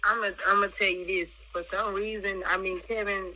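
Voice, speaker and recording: Halting woman caller, very sharp tinny voice. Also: mid-range voice, fairly even intonation. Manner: halting, pleading, repeats words. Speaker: caller seeking advice. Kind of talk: hesitant emotional talk. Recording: tinny distant radio-like phone call.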